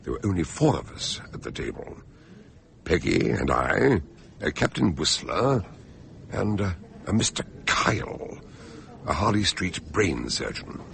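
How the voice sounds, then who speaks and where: An actor playing a cautious gentleman who narrates a radio mystery in a measured, slightly hesitant manner. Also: low-pitched, very animated, creaky, slight background noise, heavily acted. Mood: measured narration. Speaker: narrator and character in a radio drama. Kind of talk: radio drama acting and narration.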